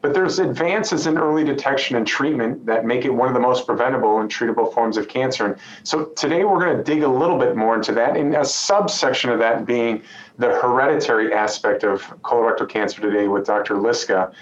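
A man's voice: medium pitch, slight background noise. Middle-aged male podcast host, roomy sound. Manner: friendly, conversational, uses "you know" and quick asides. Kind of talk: hosting a medical podcast interview. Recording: roomy, slightly dull audio with faint ambience.

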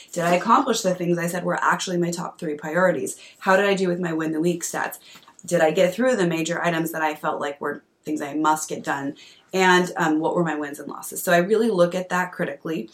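A woman in her thirties hosting a podcast at home, hoarse from a cold, talking in a chatty, earnest way. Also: on the low side. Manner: chatty and earnest, with fillers and coughs. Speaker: podcast host and coach. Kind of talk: casual solo podcast talk. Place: at home at night.

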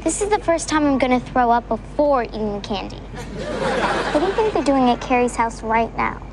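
A woman's voice: high-pitched, lively intonation, moderate pace, over a noisy crowd. A young actress playing a scheming, wisecracking preteen girl in a TV sitcom, with audience and noise behind her. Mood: wry. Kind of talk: sitcom acting with live audience. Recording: noisy TV sitcom with studio audience.

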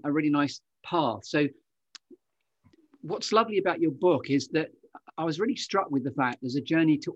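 Effusive male podcast host, slightly husky. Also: on the high side, dry recording, highs cut off. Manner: effusive, wordy, meandering asides. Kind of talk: chatty, effusive podcast interview.

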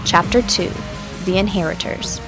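An actress playing an eager, curious radio host who investigates mysteries, in audio drama dialogue and first-person narration. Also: female voice, mid-range voice, moderate pace, over music, natural voice, low-quality recording. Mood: measured storytelling.

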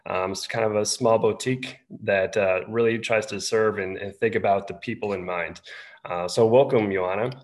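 A man's voice: on the low side, steady tone, normal speed. A man hosting an interview show, casual and a bit hesitant with fillers. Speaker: podcast host.

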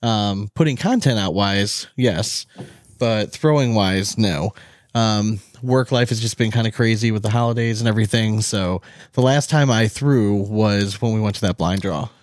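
A man's voice: on the low side, normal speed, dry recording, studio quality. A man co-hosting a podcast in casual, laid-back banter, sometimes drawing out his phrases. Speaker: podcast co-host.

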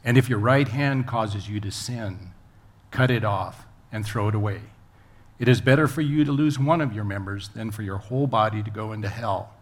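A man's voice: fairly low pitch, moderate pace. A man reading a Bible passage aloud as a scripture reader. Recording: faint background ambient noise.

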